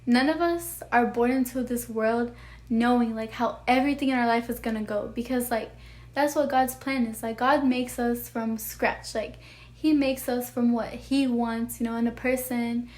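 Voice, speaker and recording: Soft-voiced young woman, earnest and rambling. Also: fairly high pitch, fairly even intonation, normal speed. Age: young adult. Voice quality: soft, childlike voice. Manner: earnest, rambling, full of "like" and "you know". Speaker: vlogger. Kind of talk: heartfelt personal talk to camera.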